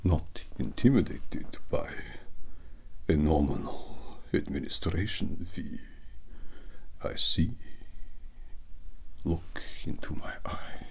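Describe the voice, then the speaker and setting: A young man playing a brooding, theatrical vampire landlord in an ASMR roleplay, gravelly and breathy, speaking with dramatic pauses. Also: rumbling low, expressive, a little mellow, exaggerated voice. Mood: ominous. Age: young adult. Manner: slow, drawn-out, dramatic pauses.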